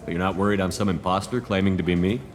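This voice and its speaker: A man's voice: deep voice, fairly even intonation, very fast, natural voice. A man playing a stoic, determined space commander in a video game, serious and direct. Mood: calm, dry.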